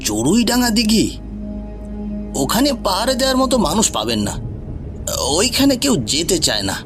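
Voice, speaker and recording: A man's voice: high-pitched, wildly swooping pitch, moderate pace. A man playing a curious, wary village tea seller in a horror story, with a rural Bengali accent and folksy, coaxing manner. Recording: music bed behind voice.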